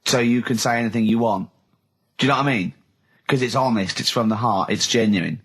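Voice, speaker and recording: Man, husky voice, radio host, casual banter, teasing, questioning. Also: medium pitch, brisk, fairly bright tone.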